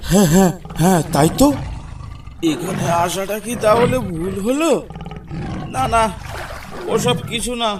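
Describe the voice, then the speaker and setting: A man's voice: high-pitched, expressive, a little slow, long pauses, distant mic, background noise. A man playing a poor crab-catching village father in a cartoon, scolding yet reassuring. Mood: uneasy, cautioning. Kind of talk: cartoon story dialogue.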